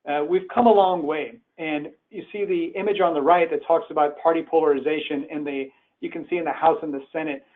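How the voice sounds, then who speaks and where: A man's voice: a little high, fairly even intonation, fairly quick, few pauses. An earnest, conversational man born in Iran, giving a presentation from home over a call. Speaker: webinar speaker. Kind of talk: presentation talk. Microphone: remote call. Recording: tinny phone-like web call audio.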